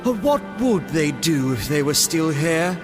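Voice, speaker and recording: A man's voice: fairly high pitch, sing-song, a little slow, music in the background. An actor playing a stern, indignant authority figure, a man delivering accusations in a dramatic audio drama. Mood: indignant, accusing. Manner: scolding, indignant. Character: stern, accusing authority figure, male, scolding a disloyal legacy.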